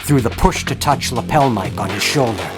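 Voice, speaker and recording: A man's voice: mid-range voice, lively intonation. Man narrating horror, music behind. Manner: dramatic, ornate, deliberate phrasing. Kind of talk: scripted horror story narration. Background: dramatic music bed.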